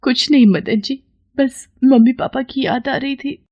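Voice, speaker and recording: A woman voicing a meek, gentle, dutiful young daughter-in-law in a cartoon melodrama, speaking politely and submissively. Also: high voice, fairly even intonation, measured pace, no echo, muffled recording, pitched above the performer's own voice. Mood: sad, meek.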